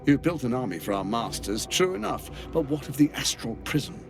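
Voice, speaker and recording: Casting: smooth scheming game villain, taunting and theatrical, very animated pitch, music underneath. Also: male voice, brisk. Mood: mocking. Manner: sardonic, taunting, grandiose. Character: suave scheming male villain lord, mocking and theatrical. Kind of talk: video game villain acting. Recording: loud music behind the voice.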